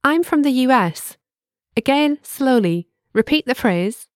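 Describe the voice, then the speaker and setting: A woman guides listeners through a language lesson in clear, measured, instructive narration. Her voice sits fairly high and moves a lot in pitch. The recording is dry and close, with no room sound. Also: expressive, dry recording.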